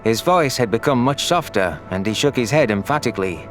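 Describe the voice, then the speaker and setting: A man's voice: medium pitch, animated, brisk. A man reading dramatic first-person scripted narration as an audiobook narrator. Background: background music.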